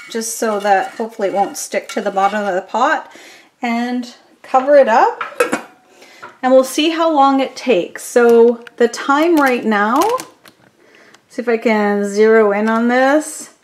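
A woman's voice: a little high, a little hiss or hum. Chatty woman cooking at her stove. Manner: chatty, upbeat, thinks aloud with many ums. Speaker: home cooking vlogger. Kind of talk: casual cooking demonstration. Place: home kitchen at the stove.

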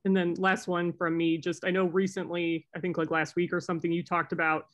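Reporter dude, higher flat voice, kinda muffled lo-fi line. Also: male voice, fairly quick. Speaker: sports reporter. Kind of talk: press conference questions.

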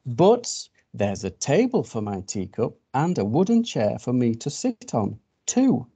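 A man's voice: medium pitch, wildly swooping pitch, unhurried, dark tone, husky, muffled recording, full character voice. A man voicing a strange, tired and timid travelling animal from a picture book, hesitant and drawn out. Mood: earnest.

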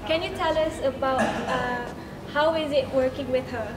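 A woman's voice: high-pitched, moderate pace. A woman, casually putting prepared interview questions from off camera in a shop. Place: indoor clothing boutique, off camera. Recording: clearly noisy shop ambience.

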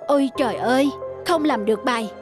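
A busy cartoon mother, played by a woman, with a fairly high voice. She talks in a brisk, chiding way to her child, over soft background music. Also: lightly acted. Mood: impatient. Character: busy, scolding mother of a young schoolgirl. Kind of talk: cartoon dialogue.

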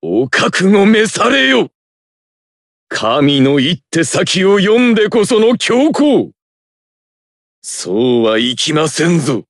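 A man's voice: sits high, a bit bright, no room sound, clean studio sound, exaggerated voice. A man playing a shrewd, courtly old strategist warrior in an anime game, archaic and commanding. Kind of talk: anime game battle acting.

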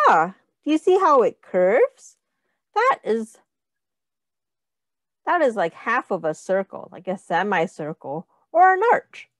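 A woman with a high, lively voice reads to small children as their teacher. She takes it very slowly and deliberately, posing questions and counting along. The sound is close and dry. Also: dry recording. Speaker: teacher reading aloud to young children. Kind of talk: children's picture-book read-aloud and counting lesson.

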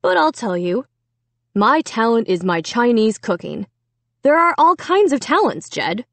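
A woman's voice: a little high, big pitch swings, measured pace, no echo. A woman voicing a middle-aged father who scolds and reasons with restrained, weary sternness in a storybook. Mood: restrained annoyance. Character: scolding, tight-jawed middle-aged father, tiredly patient.

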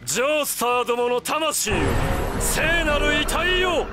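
Grandiose vampire villain with wild swoops. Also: male voice, very high voice, lively intonation, loud music behind the voice. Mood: gloating. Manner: theatrical, imperious, drawn-out declamation with shouted outbursts. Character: megalomaniac godlike vampire villain, grandiose and gloating. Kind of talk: anime video game cutscene acting.

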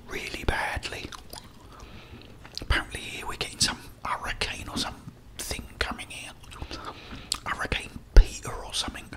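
Whispering middle-aged British man, ASMR gamer. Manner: rambling, apologetic, talking to game characters. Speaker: game streamer. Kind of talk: ASMR whispered gameplay commentary. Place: small indoor room.

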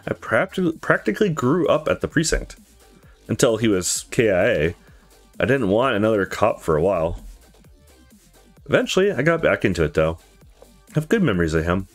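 A man's voice: fairly low pitch, big pitch swings, normal speed, long pauses, soft background music, pitched below the performer's own voice. A man voicing a tough, curt, foul-mouthed young woman police intern in a visual novel. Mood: guarded. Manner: curt, cocky, swearing.

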